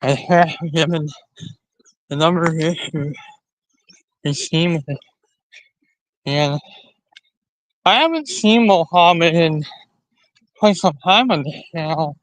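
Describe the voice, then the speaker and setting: Slow, halting man chatting on a livestream. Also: on the high side, dry recording, poor audio. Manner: rambling, halting, repeats and restarts phrases. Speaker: live stream host. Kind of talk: casual livestream chat to viewers.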